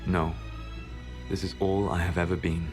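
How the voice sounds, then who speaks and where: Game casting: male actor as ancient elven god, very low voice, solemn, proud, regretful, measured delivery over music. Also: little pitch movement, natural voice. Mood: grave, proud. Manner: measured, solemn, formal phrasing. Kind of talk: dramatic video game dialogue.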